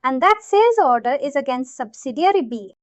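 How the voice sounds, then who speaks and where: High pitched lady trainer explaining step by step, repeats stuff, checks you get it, kinda muffled lo-fi sound. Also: very animated, fairly quick, dry recording. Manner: repetitive, checks understanding often. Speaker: software trainer. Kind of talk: tutorial explanation to learners.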